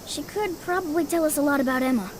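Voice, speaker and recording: A woman's voice: sits high, fast, noisy background, lightly acted. A woman voicing a small, earnest, wary talking cat in a game dub. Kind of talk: English game dub acting.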